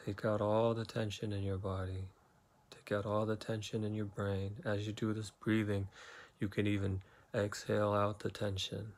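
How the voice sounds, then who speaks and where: A man leading a guided meditation, giving slow, soothing instructions with long pauses between phrases. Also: fairly low pitch.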